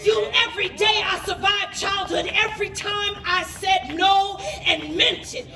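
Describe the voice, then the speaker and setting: A woman's voice: very high voice, fairly even intonation, measured pace, a crowd in the background. A woman performing spoken word poetry with an impassioned, emphatic and dramatic delivery. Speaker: poet. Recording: roomy echo, distant microphone.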